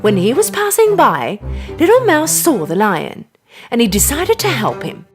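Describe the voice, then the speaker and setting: Female children's storyteller; higher voice, very expressive swings, music underneath. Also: unhurried. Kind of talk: animated scripted children's narration.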